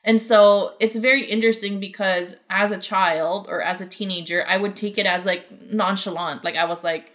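A young woman co-hosting a podcast in casual chat, lively and acting out quoted exchanges. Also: medium pitch, moderate pace, few pauses. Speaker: podcast co-host.

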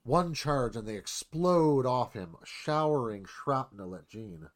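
Mid-pitched male radio theatre narrator. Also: animated, slow pace. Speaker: narrator reading stage directions.

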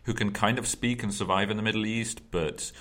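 A man's voice: fairly low pitch, steady tone, fairly quick, fairly bright tone. A man hosting a casual conversation, talking loosely with lots of ums and hesitations. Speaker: podcast host.

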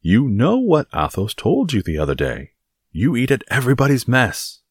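A man acts out a blustering musketeer from a classic adventure audiobook. His pitch swings widely from low rumbles to high exclamations, and he sounds boastful, theatrical and very pleased with himself. The recording is dry and close. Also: wildly swooping pitch, normal speed, slightly rough, no room sound. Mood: scolding. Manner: self-sufficient, exclamatory, theatrical. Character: boastful, blustering male musketeer, loud and self-satisfied. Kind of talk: dramatised audiobook reading of a classic adventure novel.

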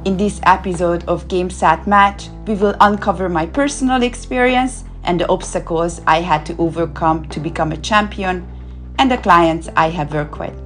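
A woman reading a scripted podcast intro in a measured, read-aloud way. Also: lowish voice, a bit bright. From Hungary. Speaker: podcast host. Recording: faint music behind the voice. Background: faint music.

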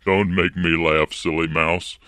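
A man voicing a huge, proud male lion who roars and booms. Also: level tone, unhurried, sharp tone, clean tone, dry recording, clean studio sound. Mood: scoffing. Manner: booming, roaring.

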